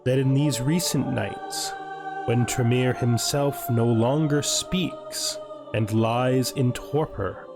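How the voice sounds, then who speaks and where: A man performs a solemn chronicler of vampire lore in a game, narrating slowly and with measured drama. The pitch is average for a man, and faint music runs underneath. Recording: music playing behind the voice.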